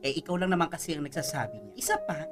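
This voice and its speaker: A woman's voice: low voice, big pitch swings, racing, over music. A woman playing an outspoken, insistent godmother in a Filipino radio drama. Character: outspoken godmother urging honesty, friend of the family.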